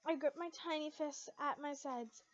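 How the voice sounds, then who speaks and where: A woman's voice: sits high, moderate pace, no echo, dull audio. A woman reading a story aloud in a dramatic amateur style, sometimes stumbling over words and correcting herself. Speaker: fan fiction reader.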